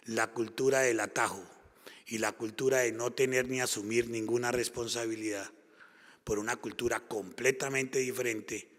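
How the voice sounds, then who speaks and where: A man from Colombia with a Colombian accent, giving a conference talk and driving his points home with repeated phrases. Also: medium pitch. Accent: Colombian Spanish. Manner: emphatic, repetitive rhetorical build-ups. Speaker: conference speaker. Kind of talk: public lecture. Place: conference hall. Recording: long hall reverb.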